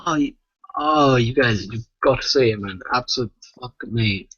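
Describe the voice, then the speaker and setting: British man, husky voice, dry close sound, rambling podcast chat, sweary. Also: mid-range voice, moderate pace, fairly bright tone, no room sound, lo-fi sound. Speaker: podcast co-host.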